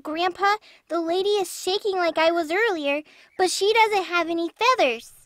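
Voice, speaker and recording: A woman's voice: very high voice, normal speed, fairly bright tone, no room sound. A woman playing a timid but blunt little bird girl in a fantasy audio drama, with a childlike, exclamatory delivery. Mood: curious.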